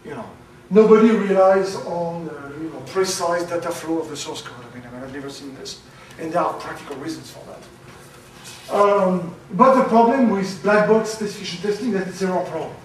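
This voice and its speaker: A man giving a conference talk, loud and halting, with many fillers. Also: high-pitched. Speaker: conference speaker. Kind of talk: academic presentation. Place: lecture room. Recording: very echoey, from far off.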